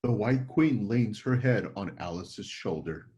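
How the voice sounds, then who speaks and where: A man's voice: fairly low pitch, unhurried, dark tone, muffled recording. A man narrating the scenes of a classic story as scripted stage directions.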